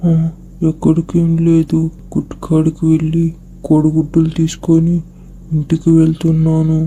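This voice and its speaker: A woman voicing a naive, gullible, slow-witted talking watermelon in an animated moral story. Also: low voice, a little hiss or hum, close to an everyday voice, low-quality recording. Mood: innocent.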